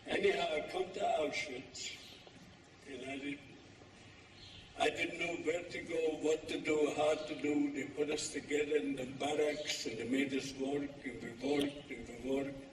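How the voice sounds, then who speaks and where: A man's voice: on the high side, fairly even intonation. Older man speaking in an echoey hall. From Poland. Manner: slow, halting, plainspoken. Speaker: keynote speaker. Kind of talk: personal testimony speech. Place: large hall, live event. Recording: echoey hall from far off with noise.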